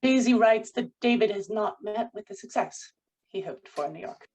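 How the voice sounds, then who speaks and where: A woman's voice: medium pitch, normal speed, darkish tone, lightly acted, low-quality recording. A woman playing a composed, controlling wife in a period drama table read, speaking in a poised, formal way. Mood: guarded, formal.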